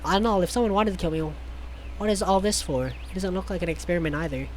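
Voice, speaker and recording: A man's voice: high voice, fast talker, darkish tone, a little hiss or hum. A man reading a visual novel aloud in a theatrical way, with sarcastic asides and stumbles over words. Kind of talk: mocking dramatic read-aloud with ad-lib commentary.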